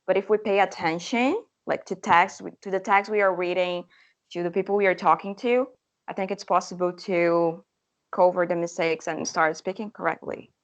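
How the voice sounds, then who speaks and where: A woman's voice: fairly low pitch, fairly even intonation, moderate pace. A young woman whose first language is Portuguese, a language teacher answering interview questions eagerly, with many restarts. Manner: enthusiastic, talkative, with restarts.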